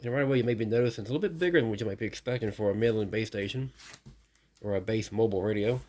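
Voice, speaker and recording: Male hobbyist host, lowish pitch, informal hands-on narration with a musing, tentative delivery. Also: very fast, a little mellow. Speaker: radio hobbyist video host. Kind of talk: casual unboxing narration.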